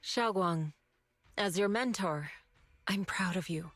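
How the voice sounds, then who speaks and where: A woman's voice: lowish voice, slow, a bit bright, no echo, faint music behind. A woman voicing a composed, tender martial mentor in a video game. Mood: proud, tender.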